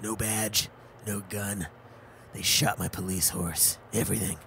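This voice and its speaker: An actor playing a melodramatic, vengeful detective in a comedy radio play, brooding and gruff. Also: male voice, fairly low pitch, fairly even intonation, a little slow, background noise. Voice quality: gravelly, brooding. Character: gruff vengeful male cop, middle-aged, melodramatic.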